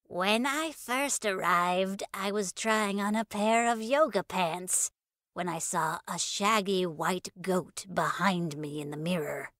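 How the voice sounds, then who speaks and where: A woman voicing a small, eccentric, cryptic older woman with a crackly, raw voice and drawn-out, sing-song delivery in an audio story. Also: fairly bright tone, no echo, studio quality. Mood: ominous. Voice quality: high-pitched, crackly, raw.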